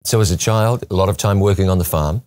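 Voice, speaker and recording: A middle-aged man with a low voice hosts a broadcast interview. He speaks as a studio interviewer, introducing and questioning a guest on a lapel mic. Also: quick pace, a little mellow. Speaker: talk show host and interviewer. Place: television studio, seated at a desk.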